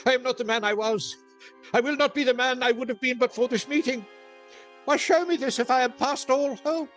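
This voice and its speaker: A man's voice: very high voice, brisk, a bit bright, faint music behind. A man playing a fearful, repentant old miser in a classic radio play, earnest and pleading. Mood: desperate pleading.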